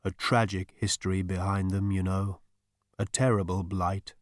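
A man voicing a disembodied spirit in an audiobook, soft and ethereal, speaking slowly with wistful courtesy. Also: deep voice, level tone, no echo, studio quality, natural voice. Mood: sorrowful, hushed. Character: disembodied male spirit, deep, quiet, ethereal, wistfully polite. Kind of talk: audiobook character voice.

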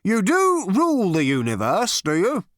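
A man's voice: sits high, sing-song, a little slow, fairly bright tone, dry recording, clean studio sound, voice pushed up, heavily acted. A man voicing a stiff, indignant male official in a storybook, questioning insistently and with exasperation. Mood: stiff, probing.

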